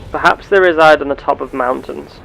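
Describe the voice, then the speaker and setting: A man playing a genteel space captain and explorer, speaking in formal, measured, old-fashioned wording as he narrates a game in character. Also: on the high side, creaky, fairly bright tone, low-quality recording. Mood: musing.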